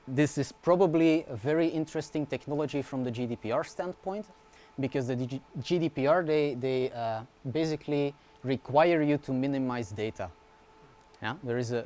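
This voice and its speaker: A man, a university researcher giving a relaxed conference talk, often checking in with the audience. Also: mid-range voice. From Belgium. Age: 20s. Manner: casual, informal explaining with frequent "yeah?" and "right?" check-ins; fillers and restarts, light humor.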